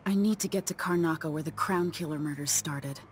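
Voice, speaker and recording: Lowish-voiced resolute young empress, played by an actress. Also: female voice, fairly quick, a little hiss or hum, close to an everyday voice. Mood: determined, urgent. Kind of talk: video game voice acting.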